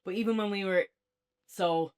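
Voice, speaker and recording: A man's voice: high-pitched, fairly even intonation, moderate pace, clear, resonant tone. An Irish man in a casual, unscripted review chat as co-host, rambling and informal in how he talks.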